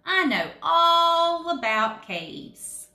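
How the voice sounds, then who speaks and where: A woman's voice: very high voice, sing-song, extremely slow, pushed and bright, roomy sound, voice pushed up, full character voice. A woman voicing a confident, know-it-all father bear who leads scouts in a storybook, hearty, instructive and encouraging.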